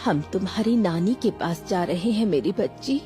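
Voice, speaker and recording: A woman playing a grieving, weary widowed mother who is gentle with her child, in an emotional cartoon horror story delivery. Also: mid-range voice, lively intonation, moderate pace, lightly acted. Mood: gentle, evasive. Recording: music under the voice.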